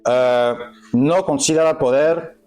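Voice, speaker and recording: A man's voice: a little high, takes it slowly, darkish tone, a little hiss or hum. A man lecturing a group in a language that is not his first, stopping often to search for words and correct himself. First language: not Spanish. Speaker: workshop speaker. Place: meeting hall with an audience.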